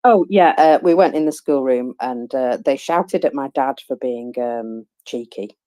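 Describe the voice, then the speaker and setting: A woman's voice: extremely low pitch, measured pace, mellow. A woman chatting casually on a live audio space, hesitant with many fillers and a dry wit. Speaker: panelist.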